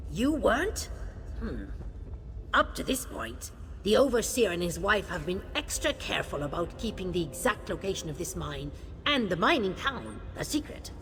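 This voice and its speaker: An actress playing a surly, overworked female miner in a fantasy video game, curt, sarcastic and suspicious, with a creaky voice. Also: on the low side, big pitch swings, moderate pace, fairly bright tone, a little hiss or hum. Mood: irritated, sarcastic. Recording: long cave reverb, clear music underneath.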